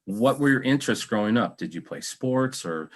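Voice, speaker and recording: A man's voice: medium pitch, lively intonation, moderate pace. An American man hosting an interview in a casual, joking, rambling way over a video call. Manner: rambling, jokey. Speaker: talk show host, interviewer.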